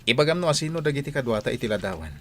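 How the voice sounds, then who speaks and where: A coaxing young master played by a man speaks to his mother in radio drama style. His voice is medium pitched, with faint noise behind it. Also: expressive, racing, natural voice. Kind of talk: Ilocano radio comedy drama acting.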